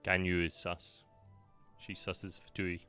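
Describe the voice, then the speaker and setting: A man's voice: deep voice, monotone, slow pace, sharp tone, clear, resonant tone, soft background music. A man giving casual, joking, blunt commentary as he streams a game. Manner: irreverent, blunt.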